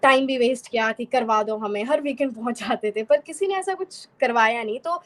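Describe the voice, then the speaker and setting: A woman's voice: a little high, a little fast, a bit bright. A young woman from Haryana, explaining fluently as an interview guest and mixing in many English words. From Haryana, India. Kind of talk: casual interview conversation.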